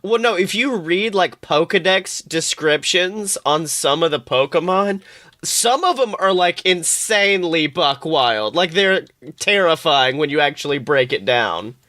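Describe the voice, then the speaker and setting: A man co-hosting a podcast in casual comedic banter, telling anecdotes in an animated, exclamatory, sweary way. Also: on the high side, measured pace, no room sound, studio quality. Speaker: podcast co-host.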